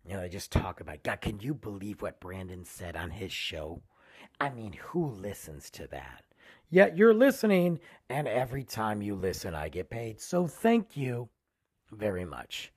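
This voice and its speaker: Husky-voiced man hosting a podcast. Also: a little slow. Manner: rambling, animated, voice drops low at phrase ends. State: losing his voice, tired. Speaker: podcast host. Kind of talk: casual solo podcast intro.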